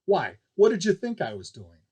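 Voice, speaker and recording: Cocky male flyer villain, as a narrator reads him: scornful, grumbling, slightly husky audiobook delivery. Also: high-pitched, huge pitch swings, normal speed, darkish tone, voice pushed up, heavily acted. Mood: defensive, sneering. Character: cocky, sour younger male star stunt pilot, villain. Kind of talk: audiobook character dialogue.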